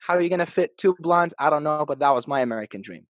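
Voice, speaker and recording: A man's voice: on the high side, animated, quick pace, no echo, studio quality. Upbeat storytelling man from Iraq. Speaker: podcast guest, real estate agent. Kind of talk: casual interview storytelling.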